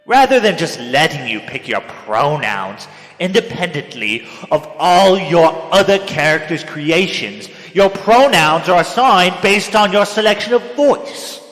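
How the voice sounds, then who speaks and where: A young man delivers a theatrical dramatic reading of a written piece in a melodramatic manner, swinging between hushed and loud. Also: on the high side. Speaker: dramatic reader. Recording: echoey hall with long reverb, music behind. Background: music.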